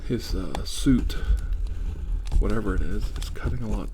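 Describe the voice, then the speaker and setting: A calm wartime field doctor, played by a man in an ASMR role-play. His voice is low and hushed, often slipping into a whisper as he mutters to himself and reassures a patient. The recording is clearly noisy, with clicks. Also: normal speed, lightly acted. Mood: reassuring, concerned. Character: calm 1940s army doctor rescuing crash survivors.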